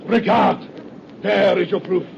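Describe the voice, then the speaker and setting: Vampire-hunter doctor, high-pitched, gravelly, solemn and theatrical; noisy old radio horror recording. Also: male voice, animated, a little slow, a little mellow, heavily acted. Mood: grim, solemn. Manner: portentous, theatrical, formal declaiming. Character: grave, relentless male doctor hunting vampires. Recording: muffled, noisy vintage radio recording with rumble.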